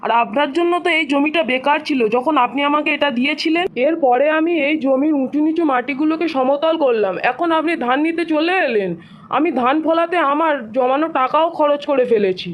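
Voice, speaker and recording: A woman's voice: sits high, brisk, natural voice. A woman voicing a humble, hard-working poor farmer man in a cartoon, earnest and plain-spoken. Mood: aggrieved.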